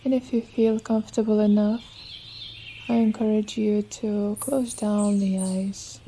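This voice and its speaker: A woman leading a guided relaxation meditation as a yoga nidra teacher, with soothing, unhurried, gentle instruction. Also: mid-range voice, flat delivery, a little hiss or hum. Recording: nature ambience with birdsong behind. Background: birdsong and nature sounds.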